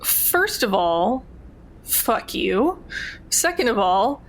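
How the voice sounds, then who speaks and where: A woman's voice: a little high, very animated, very slow, low-quality recording. Casual, blunt woman playing a tabletop game. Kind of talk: casual game chat, in and out of character.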